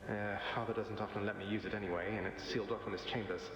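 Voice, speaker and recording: A man's voice: on the low side, steady tone, quick pace, close to an everyday voice. A man playing a cocky young underworld prince in a video game, with a dry, sardonic, casually eloquent delivery. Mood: wry. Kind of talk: video game drama acting. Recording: echoey hall with long reverb.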